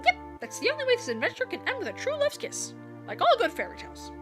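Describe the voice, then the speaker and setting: A hoarse woman voicing a cheerful old male toy king from a cartoon game, upbeat and matter-of-fact. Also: very high voice, huge pitch swings, fast, music in the background, pitched above the performer's own voice, heavily acted. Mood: chipper.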